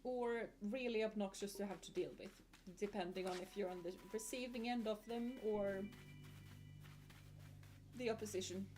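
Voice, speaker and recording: A woman's voice: medium pitch, normal speed, a little mellow, faint music behind. A woman streamer giving casual gameplay commentary, chatty and muttering asides to herself.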